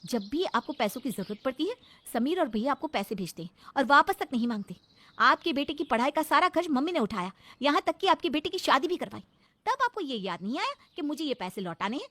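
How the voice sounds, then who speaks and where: A woman playing a bold, sharp-tongued young daughter-in-law in a cartoon moral story, scolding and outspoken. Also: sits high, big pitch swings, fairly quick, no echo, voice pushed up, heavily acted. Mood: angry, defiant.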